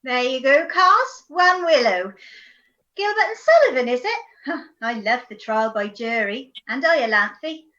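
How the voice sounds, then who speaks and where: A woman playing a brisk, friendly village pub barmaid in a radio comedy. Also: high voice, sing-song, fairly bright tone, dull audio, heavily acted.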